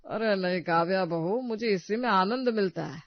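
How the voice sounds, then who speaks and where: An actor playing a mild, kindly old man, a father-in-law in a family story, with a creaky voice and soft reassuring manner. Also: medium pitch, normal speed, no room sound, muffled recording, lightly acted. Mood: mild refusal. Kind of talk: acted dialogue in a Hindi family story.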